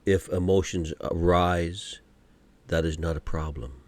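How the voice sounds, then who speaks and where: A man guiding a meditation from a script in a gentle, soothing, unhurried manner, pausing often between short phrases. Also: low-pitched, fairly even intonation. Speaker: meditation guide. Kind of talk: guided meditation, scripted narration.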